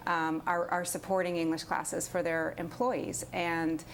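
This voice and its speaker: Female nonprofit director guest, low even voice, informative and steady, faint noise behind. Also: measured pace. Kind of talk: interview guest explaining her program.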